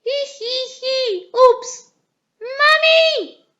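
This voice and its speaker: A woman voicing an excitable, loud little girl piglet in a children's picture book, squealing and exclaiming. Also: very high voice, extremely slow, lo-fi sound, voice pushed up, full character voice. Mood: urgent, calling out.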